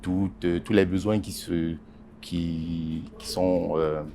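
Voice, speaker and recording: Very deep-voiced hesitant man. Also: flat delivery, dark tone, slight background noise. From Congo Basin. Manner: halting, many hesitations and repeated words. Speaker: journalist and editorial writer. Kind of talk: interview answers.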